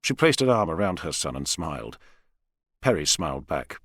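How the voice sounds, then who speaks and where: Expressive man narrating, close and dry. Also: rumbling low, normal speed, no room sound. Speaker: audiobook narrator. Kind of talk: scripted fiction narration.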